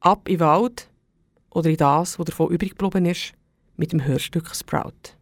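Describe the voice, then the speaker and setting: A radio host, presenting a program in a relaxed, conversational way. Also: medium pitch, normal speed, vocal fry, dry recording, studio quality.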